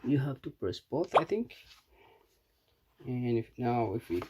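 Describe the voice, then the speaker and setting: A man's voice: medium pitch, sing-song, measured pace. A man giving a casual, unscripted product demonstration as a reviewer, hesitant and thinking aloud as he goes. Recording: clearly noisy background with clicks.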